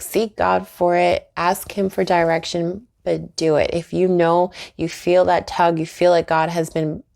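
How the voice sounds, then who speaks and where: Woman, vlogger, faith talk, encouraging, low voice, gravelly fry. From Trinidad and Tobago. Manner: earnest, encouraging, laughs often. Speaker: YouTuber and author. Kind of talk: faith-based vlog talk to camera.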